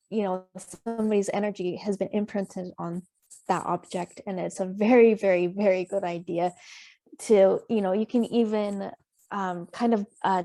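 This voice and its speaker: A woman appears as a guest on a casual podcast, explaining feng shui ideas. She talks in a loose, rambling way with plenty of fillers, at a mid-range pitch. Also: dark tone. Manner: chatty, rambling, many fillers. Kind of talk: casual interview chat.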